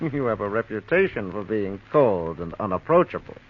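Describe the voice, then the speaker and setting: Low-voiced suave older schemer. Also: male voice, sing-song. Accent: American. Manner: suave, sly, unhurried explaining. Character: smooth-talking scheming male blackmailer, near sixty, self-assured. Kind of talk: 1940s radio drama acting. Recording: dull, hissy vintage radio recording.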